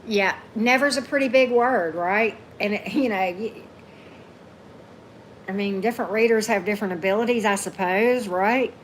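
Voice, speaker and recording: A woman's voice: moderate pace, poor audio. A woman chats through a livestream. She talks casually, answers viewers by name and often ends a thought with "right?". A faint hiss of background noise sits under her voice. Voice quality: deep voice. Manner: chatty, keeps asking "right?", greets commenters by name. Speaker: tarot reader hosting a livestream.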